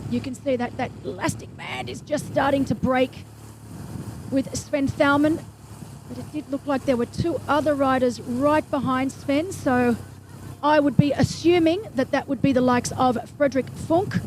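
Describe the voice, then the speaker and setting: A woman with a bright, high voice calls a triathlon live, brisk and enthusiastic, with chatty asides. Engine and wind noise from the race can be heard clearly behind her. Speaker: race commentator. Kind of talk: live sports commentary.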